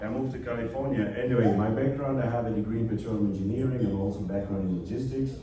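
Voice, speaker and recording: A man's voice: lowish voice, fast talker, background noise. Man from Tanzania, panel speaker, talks a crowd through numbers; far mic, echoey hall, audience behind. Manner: leads the room through a number exercise, checking in often. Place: event hall.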